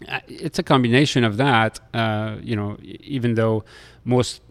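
A middle-aged man from France with a French accent, telling stories in an interview in a halting way, often hesitating and repeating words. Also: mid-range voice, fairly even intonation, vocal fry. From Lille, France. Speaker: restaurateur being interviewed. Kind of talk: conversational interview storytelling.

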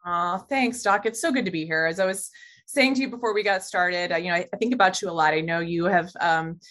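A middle-aged woman, an oncologist, answering interview questions in a lively, fast-talking conversational way. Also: lowish voice. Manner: warm-hearted, quick and animated, rushing through phrases. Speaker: guest, integrative oncologist.

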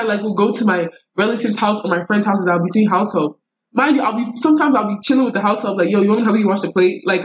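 A young woman telling stories in casual, slangy, animated talk with Nigerian turns of phrase. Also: medium pitch, dull audio, slight background noise. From United States. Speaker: podcast guest. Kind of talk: casual conversational storytelling.

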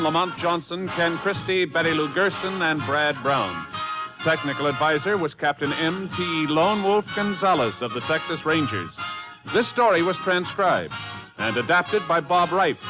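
An American male announcer reads a script in dramatic old-time radio style. His voice is notably high, and loud music plays behind him. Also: poor audio. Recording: old 1950s radio recording.

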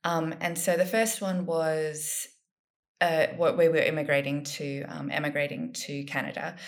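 A woman's voice: low-pitched, level tone, unhurried. A woman from South Africa, a guest author in a casual interview, thinking aloud with plenty of ums and restarts. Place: home in Sydney.

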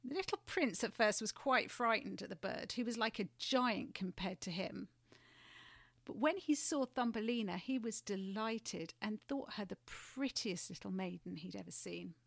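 Woman, storybook narrator, gentle expressive delivery, mid pitch, dry close sound. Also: normal speed, creaky, no room sound. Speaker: guest storyteller.

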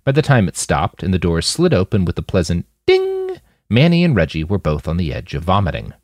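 A man narrates scripted fiction in a lowish voice. His pitch moves around a great deal, and the sound is dry and close, as in an audiobook. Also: wildly swooping pitch, a little fast, a little mellow, no room sound. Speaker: author and narrator of a fiction podcast.

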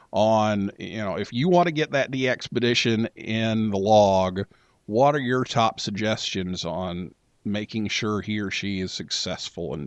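A man hosting a radio talk show, chatting casually with a guest and pausing often on filler sounds. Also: lowish voice, no room sound. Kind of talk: casual interview chat.

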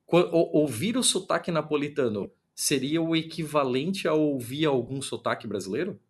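A man, hosting a casual chat in a relaxed way and asking his guest questions. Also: fairly high pitch, moderate pace, husky. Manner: relaxed, asks questions, laughs along. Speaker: podcast host. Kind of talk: casual conversation.

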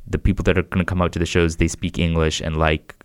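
A young American man with a deep, smooth voice talks in a loose, rambling way, full of "like" and false starts. The sound is close and dry. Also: level tone, fast, no echo. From United States. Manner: rambling, restarts, frequent "like". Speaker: comedian guest. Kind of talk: casual podcast chat.

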